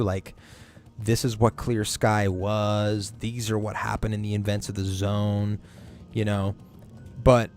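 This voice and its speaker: A man's voice: lowish voice, a little mellow. A man streaming a game, chatting casually and giving rambling, opinionated takes with plenty of swearing. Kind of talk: casual gaming stream chat.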